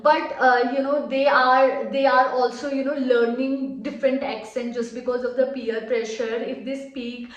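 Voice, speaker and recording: A woman's voice: sits high, fairly even intonation, moderate pace, hardly any pauses, dull audio. A young Indian woman with an Indian accent giving hesitant, casual reaction commentary full of fillers. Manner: hesitant, many fillers, laughs softly. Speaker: reaction video co-host. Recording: echoey room, distant mic.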